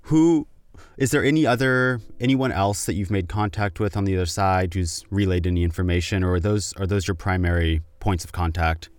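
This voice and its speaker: A man's voice: deep voice, steady tone, a little fast, clean tone. A man interviewing a guest, rephrasing his questions hesitantly as he goes.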